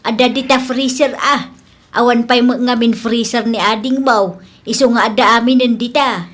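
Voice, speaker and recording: A woman acts out an older mother of grown children in an Ilocano radio drama. She is homely and indulgent, with a fairly high voice and an Ilocano accent. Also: fairly even intonation, moderate pace, a bit bright, lightly acted.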